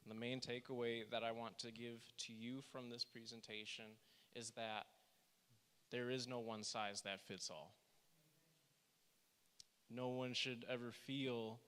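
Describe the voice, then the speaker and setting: Soft-spoken man in a reverberant hall. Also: mid-range voice, clear, resonant tone. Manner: earnest, reads slide quotes aloud with emphasis. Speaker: therapist presenting a session. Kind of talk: church workshop presentation. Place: church hall.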